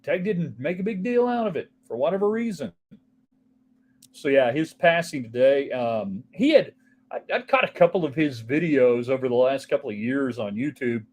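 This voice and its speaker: A man's voice: medium pitch, animated, moderate pace, darkish tone. A man hosting a casual solo sports talk show, rambling from topic to topic and answering viewer texts. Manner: rambling, offhand, jumps between topics.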